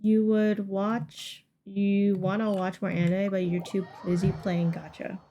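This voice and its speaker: A woman's voice: mid-range voice, flat delivery, unhurried, dark tone, muffled recording. A woman chatting casually and ramblingly to viewers while livestreaming a game. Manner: rambling, conversational. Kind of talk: casual gameplay chat with stream viewers. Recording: game music playing behind voice. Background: video game music.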